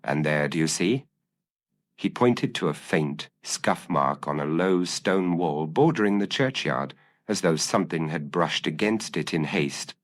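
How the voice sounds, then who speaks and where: A man with a very deep voice reads a gothic mystery as a storyteller. His delivery is formal and theatrical, with a measured feel and lively swings in pitch. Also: dry recording. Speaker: audiobook narrator. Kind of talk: scripted dramatic gothic mystery narration.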